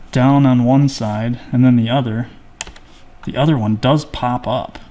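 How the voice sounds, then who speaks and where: Dude casually talking thru a repair, regular pitch, noisy room w keyboard sounds. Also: male voice. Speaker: repairer demonstrating a fix. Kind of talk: casual hands-on repair chat.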